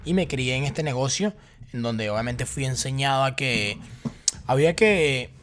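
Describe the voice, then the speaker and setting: A young Venezuelan man talks straight to the camera about his own path, relaxed and chatty. He keeps circling back with fillers and repeated words as he thinks aloud, his voice sitting in an ordinary middle register for a man. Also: mid-range voice, moderate pace, a little hiss or hum. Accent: Venezuelan Spanish. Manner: casual and talkative, with many fillers and repeated words. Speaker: vlogger. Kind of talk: personal story told to camera.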